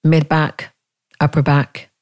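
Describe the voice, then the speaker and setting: A woman's warm, mellow voice guides a meditation at an unhurried crawl, and she leaves long pauses between gentle, inviting questions. The recording is close and dry, with no room sound. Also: sits low, little pitch movement, no echo. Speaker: meditation teacher.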